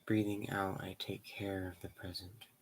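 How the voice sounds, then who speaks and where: A man leading a guided meditation in slow, measured short phrases with pauses between them. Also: low-pitched, flat delivery, breathy edge, low-quality recording.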